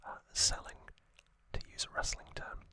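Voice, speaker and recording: A man whispering an ASMR recap as host, soft and unhurried, with frequent hesitant fillers.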